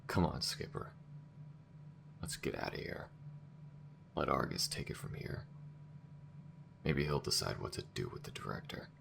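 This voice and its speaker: A man's voice: rumbling low, lively intonation, unhurried, creaky, long pauses, noisy background, exaggerated voice. A man playing a bitter, fiery male alien war veteran in a sci-fi audio roleplay, speaking bluntly. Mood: weary disgust. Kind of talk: ASMR-style scripted sci-fi roleplay acting.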